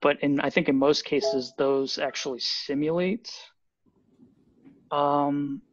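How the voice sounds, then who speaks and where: A man, a software developer, explaining technical updates at a team meeting on a video call, thoughtful and full of fillers. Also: fairly high pitch, steady tone, slow, no room sound. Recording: dull lo-fi video call audio.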